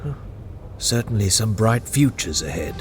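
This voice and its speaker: A man's voice: fairly low pitch, animated, moderate pace, faint music behind, lightly acted. An actor playing a witty male chamberlain who teases and laughs often. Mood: wry. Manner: teasing, wry, laughs often. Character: male chamberlain, witty courtier, playful and teasing. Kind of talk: audio drama acting.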